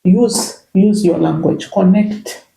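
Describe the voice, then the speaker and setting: A woman's voice: medium pitch, steady tone, takes it slowly, gravelly, mellow. A middle-aged Zambian woman, a native Bemba speaker with a Zambian accent, chatting reflectively as an interview guest and circling her points with "you know". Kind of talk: casual interview chat. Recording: echoey room.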